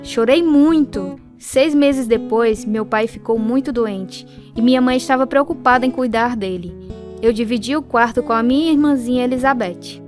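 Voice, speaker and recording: Reflective Brazilian woman narrator played by an actress, wistful, fairly high voice, radio drama memoir over clear music. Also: normal speed, darkish tone, lightly acted. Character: woman wistfully recounting her childhood and bereavements.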